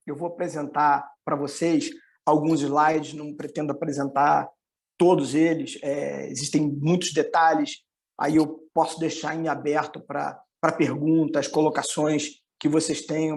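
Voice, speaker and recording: Creaky-voiced middle-aged Carioca man presenting. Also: fairly high pitch, darkish tone. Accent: Carioca (Rio de Janeiro) Brazilian. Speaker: economist giving a presentation. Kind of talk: informal online presentation with slides.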